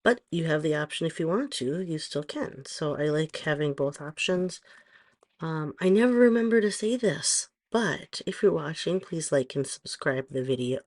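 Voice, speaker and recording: A middle-aged woman with a hoarse voice, chatting casually through a nail tutorial and rambling with frequent pauses and ums. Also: sits low, lively intonation. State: hoarse from allergies. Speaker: nail stylist vlogger.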